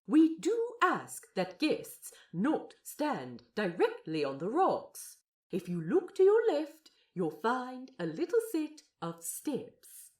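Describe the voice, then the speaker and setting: Woman playing a fussy, gentle male aquarium guide, very lively. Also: fairly high pitch, takes it slowly, roomy sound, full character voice. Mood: anxious, polite. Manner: polite, proper, earnest. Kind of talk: character acting for narrated audio fiction.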